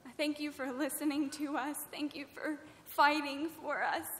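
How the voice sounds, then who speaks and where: A woman's voice: high voice, steady tone, normal speed, a bit bright. An American woman, close to tears, giving unscripted heartfelt thanks as a guest at a roundtable. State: emotional, near tears. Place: large event room with audience. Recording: echoey hall, noticeable background noise.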